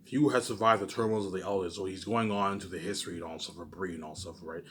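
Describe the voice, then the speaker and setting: A man's voice: deep voice, fairly even intonation, quick pace, slight background noise. A man casually reading a book aloud for his viewers, often stumbling, repeating words and breaking off into emphatic rhetorical asides. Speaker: video creator doing a book readalong.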